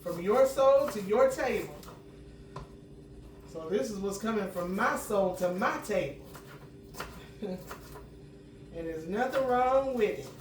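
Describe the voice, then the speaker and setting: A woman, slightly hoarse from a cold, chatting homily as she cooks and clearing her throat now and then. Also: medium pitch, expressive, takes it slowly, long pauses, slight background noise. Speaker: home cooking channel host. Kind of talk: casual cooking chat. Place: home kitchen. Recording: roomy kitchen sound from a distant mic.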